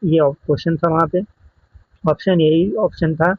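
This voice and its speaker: Male exam coach, Indian accent, raspy edge, explanatory delivery with English terms, dry and slightly lo-fi sound. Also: a little high, fairly even intonation, normal speed, dry recording. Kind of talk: exam question walkthrough lesson.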